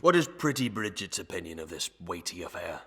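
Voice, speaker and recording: A man's voice: deep voice, very animated, fairly quick, creaky, sharp tone, husky, exaggerated voice. Sententious, wry rustic man, played by an actor. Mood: courting. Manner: sententious, wry, mock-solemn. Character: sententious rustic man, proverb-spouting, slyly courting. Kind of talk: melodrama audio acting.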